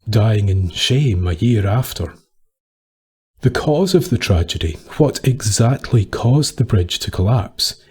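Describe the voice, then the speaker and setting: A Scottish man reading a scripted dark tale as a storyteller, with solemn, deliberate phrasing. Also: on the low side, husky.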